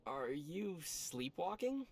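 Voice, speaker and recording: A man playing a young traveler hero in a video game, calm and gently teasing. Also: on the high side, takes it slowly, full character voice. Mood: calm, curious.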